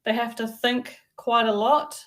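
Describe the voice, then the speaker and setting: Relaxed New woman teacher. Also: on the high side, unhurried. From New Zealand. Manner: relaxed, friendly, lots of ums and mm-hmms. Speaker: English teacher. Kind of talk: casual lesson conversation. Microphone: video call.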